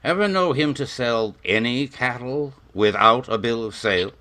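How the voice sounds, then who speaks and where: A man's voice: mid-range voice, normal speed, sharp tone, natural voice. A man playing a formal, cruel major interrogating prisoners in a radio western, stern and commanding. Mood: stern, cold.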